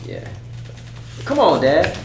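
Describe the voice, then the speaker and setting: A man reading the part of an earnest, hesitant, respectful young man at a table read, halting and casual. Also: on the high side, huge pitch swings, gravelly, heavily acted. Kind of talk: script read aloud at a table read. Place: roomy indoor table read. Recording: echoey room, distant mic, noisy hum.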